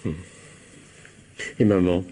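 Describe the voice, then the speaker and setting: An actor playing a nervous, gullible husband in a French radio comedy-drama, stammering, excitable and repeating himself. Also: male voice, slow pace, close to an everyday voice. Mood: resigned, uneasy. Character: flustered, gullible cuckolded husband, nervous and pliant.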